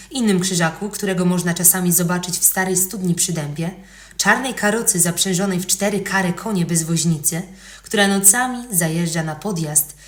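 A woman reading scripted historical narration as a podcast narrator. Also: fairly low pitch. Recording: roomy, echoing voice recording.

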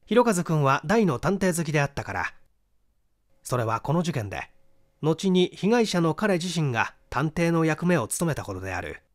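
A man's voice: fairly high pitch, very animated, normal speed. A man reading a mystery novel aloud as scripted literary narration. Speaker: audiobook reader.

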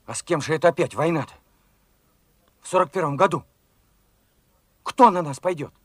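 A man's voice: a little high, moderate pace, no echo, close to an everyday voice. An actor playing an eager, naive young revolutionary fighter who questions his father with simple wonder, in Soviet radio drama style. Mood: excited, anxious. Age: young adult.

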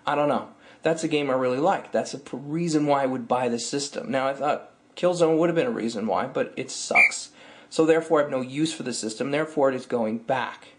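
A man in his thirties, slightly hoarse, ranting in an exasperated, complaining way in a casual vlog recorded at his webcam in a dim room. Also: mid-range voice, a little fast, a little hiss or hum. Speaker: tech vlogger.

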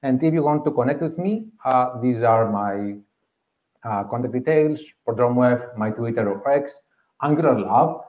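A man with a Greek accent presents at a live developer meetup, earnestly walking the audience through each idea and circling back to his main points. His voice sits in a middle pitch. The sound is roomy and a little dull, as if picked up from across a meeting room. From Greece. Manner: earnest, explanatory, repeats key points. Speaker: conference speaker. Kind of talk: tech meetup talk.

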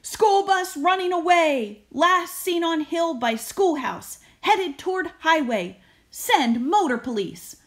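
A brisk town policeman from a storybook, firing off short, clipped reports, as a woman reader puts him on. He talks in an urgent, lively way, slow and clear. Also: very high-pitched, voice pushed up, full character voice.

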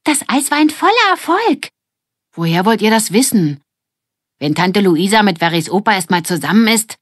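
A woman voicing lively, scheming young girls from a gang in a children's audiobook, excited and emphatic. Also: mid-range voice, normal speed, dry recording, studio quality, voice pushed up. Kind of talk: audiobook character dialogue.

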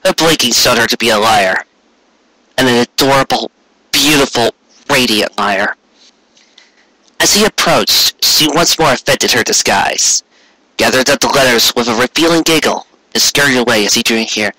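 Male story reader, bright voice, expressive, savoring delivery, close dry sound. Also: medium pitch, normal speed, dry recording, poor audio. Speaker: fan fiction reader.

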